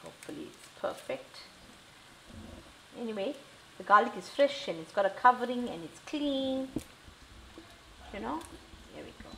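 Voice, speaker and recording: Middle-aged south african indian lady talking thru her cooking, kinda high voice, echoey kitchen w sizzling pan. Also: lively intonation, normal speed, long pauses. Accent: South African Indian English. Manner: chatty, reassuring, talks while cooking. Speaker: cooking show host. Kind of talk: casual cooking demonstration. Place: home kitchen, indoors. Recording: echoey kitchen with frying noise.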